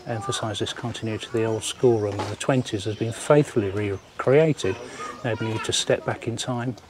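A man's voice: on the low side, fairly quick. An older man giving casual walking commentary and reading aloud on a handheld camera. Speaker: vlogger visiting a historic site. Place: outdoors in village ruins and inside an old schoolroom. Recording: handheld outdoor recording with clear background noise.

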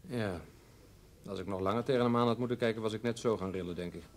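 An actor playing a tired, easily disgusted police detective in a radio drama. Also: male voice, on the low side, quick pace, lightly acted. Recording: faint background noise.

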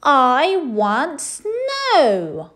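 A woman's voice: sits high, huge pitch swings, fairly bright tone, pitched above the performer's own voice, heavily acted. A woman voicing a stubborn, demanding little princess in a storybook, squealing and whining out her demands. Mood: cross, whiny. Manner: squealing, whiny, drawn-out demands.

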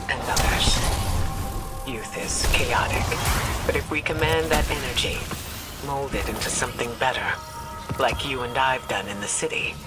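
Man as a composed commander over loud music. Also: medium pitch, natural voice. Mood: reflective. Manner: measured, earnest, authoritative. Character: composed male intelligence commander, steady and reassuring. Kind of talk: dramatic video game acting.

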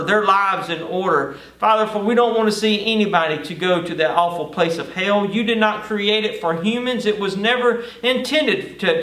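A middle-aged man, a pastor giving an earnest Bible study sermon and prayer with deliberate pauses. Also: high voice, fairly bright tone, far from the mic. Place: indoor studio or stage with lit backdrop. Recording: roomy, echoing, off-mic sound.

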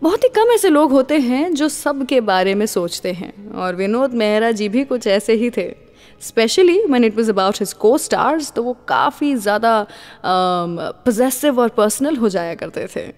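A woman's voice: on the high side, expressive, unhurried, a little mellow. A woman with an Indian accent presenting a radio show and telling anecdotes as its host.

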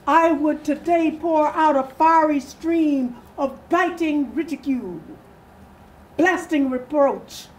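A woman's voice: sits high, darkish tone, rough recording. An older woman giving a solemn, declamatory dramatic reading of an old speech. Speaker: readers theatre performer. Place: furnished sitting room, indoors.

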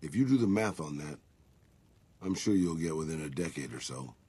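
An actor playing a world-weary old Mexican ghoul gunslinger in a video game, with a gravelly rasp, a Mexican accent and a dry, resigned, sardonic manner. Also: male voice, very deep voice, animated, moderate pace, warm tone, heavily acted. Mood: wistful, resigned. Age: older. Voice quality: gravelly, raspy. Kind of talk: video game companion dialogue.

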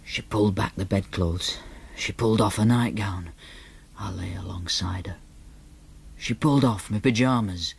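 An actor playing an awkward young man, narrating flatly in the first person and grunting replies. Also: on the low side, moderate pace, vocal fry, breathy edge, exaggerated voice.

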